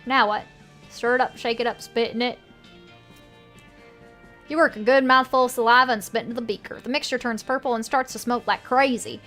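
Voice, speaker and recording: A woman's voice: fairly high pitch, a bit bright. A woman with a put-on Southern US accent, casually commenting on a game and reading its text aloud. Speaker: game streamer.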